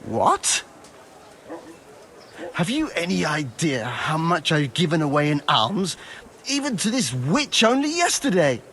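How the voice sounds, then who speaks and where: A man's voice: fairly high pitch, big pitch swings, a little slow, pauses often, bright, forceful tone, a little hiss or hum, heavily acted. A man voicing a scornful, grumbling medieval armourer in a video game, irritable and indignant. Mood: outraged. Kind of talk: dramatic game cutscene dialogue.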